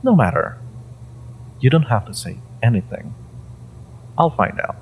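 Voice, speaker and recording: A man playing a sinister, inhuman mind-reading interrogator in an audio roleplay, theatrical, mocking and menacing, with a gravelly voice and drawn-out phrasing. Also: mid-range voice, sing-song, a little hiss or hum, poor audio. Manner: theatrical, taunting, drawn-out phrasing with pauses and whispers. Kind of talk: dramatic audio roleplay monologue to the listener.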